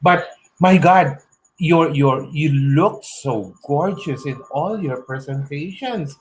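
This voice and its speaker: A man's voice: on the high side, slow, slight background noise, low-quality recording. Give me a middle-aged male host gushing over his guests on a video call. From Philippines. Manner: gushing, effusive, exclaims a lot. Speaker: talk show host. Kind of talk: online interview show hosting. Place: home room with shelves. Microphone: earphone headset mic on video call.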